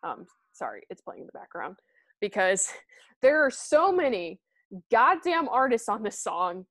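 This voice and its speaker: A woman's voice: a little high, big pitch swings, unhurried, a bit bright, no room sound. A woman co-hosting a podcast, chatting casually and rambling with fillers and laughs. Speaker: podcast co-host. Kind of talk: casual chat.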